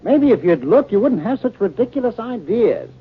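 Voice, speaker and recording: Husky, impatient scientist husband, old radio. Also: male voice, high-pitched, sing-song, moderate pace, heavily acted. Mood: enthusiastic, teasing. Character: eager, impatient young scientist husband, dismissive of fears. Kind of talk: 1950s radio drama acting. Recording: muffled 1950 radio recording.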